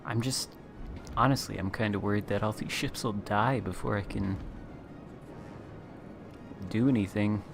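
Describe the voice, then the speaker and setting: A man chatting casually as a guest while playing a naval battle game, talking in a smooth late-night radio voice. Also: fairly low pitch, measured pace, pauses often, music in the background. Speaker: guest content creator. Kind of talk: casual gameplay chat.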